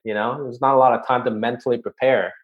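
Relaxed man telling a story. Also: mid-range voice, fast, dull audio. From Hong Kong. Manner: relaxed, conversational, drops his voice for asides. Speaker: guest comedian. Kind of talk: casual podcast chat, storytelling.

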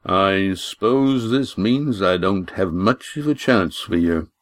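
A man doing a slow, drawling innkeeper. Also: deep voice. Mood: wry. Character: short, wry rustic male innkeeper, middle-aged.

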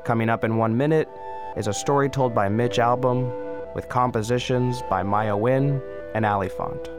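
A man presents a scripted show introduction as podcast host. His mid-pitched voice sits over very prominent, loud music. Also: normal speed.

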